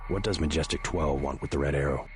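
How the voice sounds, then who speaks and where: A man playing a serious American government agent in a video game, terse and deadpan. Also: rumbling low, fast, faint music behind. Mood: probing. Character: stoic young American male government agent, serious and clipped.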